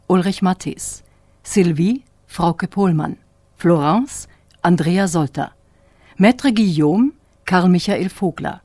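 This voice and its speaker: A woman announcer reading scripted radio drama credits in a measured, matter-of-fact way. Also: lowish voice, mellow, dry recording.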